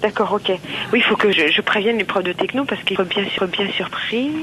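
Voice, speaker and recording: A woman's voice: medium pitch, brisk, bright, forceful tone. A woman talking as a customer on the phone, polite and hesitant, in unscripted conversation. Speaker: customer calling a shop, teacher. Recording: noisy telephone line.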